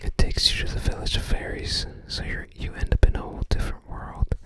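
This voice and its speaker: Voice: fairly quick, fairly bright tone. An ASMR creator whispering casual commentary in short, hesitant, rambling fragments.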